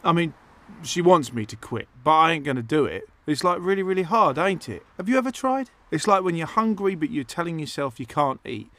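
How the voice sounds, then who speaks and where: An actor playing a dim, self-interested, stubborn bloke, obtuse and colloquial, in a dark comedy audio drama. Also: male voice, a little high, big pitch swings, a little fast. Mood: defensive. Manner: obtuse, literal-minded, colloquial.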